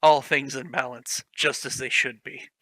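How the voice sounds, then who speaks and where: Bright, sharp-toned man reading mockingly. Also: mid-range voice, fairly even intonation, normal speed, no room sound. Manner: mocking, giggly, swears freely. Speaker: reader of a fan fiction. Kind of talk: comedic dramatic reading with commentary.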